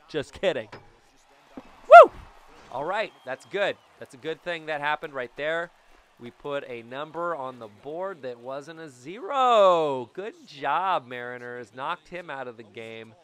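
A man in his thirties giving jokey, excitable commentary over a baseball video game, recorded on a boom mic in a small room at home. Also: a little slow. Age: 30s. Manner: excitable, jokey, bursts into loud yells. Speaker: gaming YouTuber. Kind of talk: gameplay commentary. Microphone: studio mic on boom, webcam framing.

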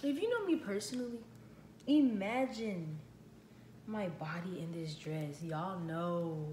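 Young woman vlogger, playful and slangy, unhurried, roomy far-off sound with audible background noise. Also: fairly low pitch, warm tone. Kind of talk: casual clothing haul. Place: living room, indoors. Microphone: built-in camera mic from across the room. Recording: echoey room, voice off-mic, ambient noise.

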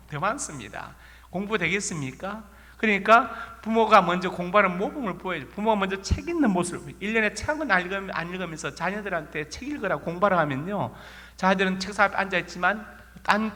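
A man's voice: sits high, pushed and bright. A male pastor with a Korean regional accent preaching a sermon in a folksy, storytelling way, asking rhetorical questions. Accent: Korean regional dialect. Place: church sanctuary. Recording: long church reverb.

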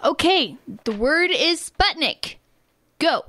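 A woman's voice: sits high, big pitch swings, slow pace, bright, forceful tone, heavily acted. A woman acting as a blunt, confident, enterprising daughter in a family radio comedy. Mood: sassy.

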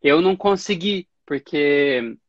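Thirtysomething guy from brazil on a webcam call, chatty, kinda high voice, dry muffled sound. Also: animated, takes it slowly, no echo. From Brazil, Campinas. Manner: chatty, storytelling, laughs easily. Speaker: host. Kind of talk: casual interview chat. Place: small room at home. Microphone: video call webcam.

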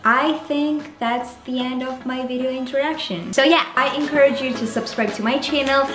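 Native italian+russian speaker, young woman in her 20s vlogging, bubbly, kinda high voice, echoey room w/ faint music. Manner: bubbly, animated, careful deliberate delivery. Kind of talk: channel introduction vlog. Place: small indoor room with pastel tassel backdrop. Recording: roomy echo, faint background music.

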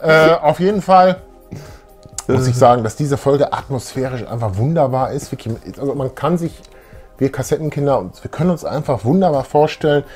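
Husky middle-aged man chatting casually. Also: medium pitch, lively intonation, moderate pace, a little mellow, soft background music. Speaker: video show co-host. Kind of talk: casual nostalgic fan chat. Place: small room full of collectibles, indoors.

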